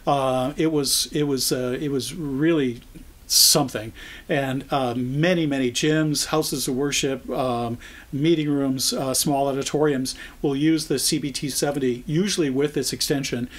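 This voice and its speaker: Older American man explaining products, conversational and halting. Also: medium pitch. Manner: conversational, halting, thinking as he goes. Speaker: product trainer. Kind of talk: informal product explainer to camera.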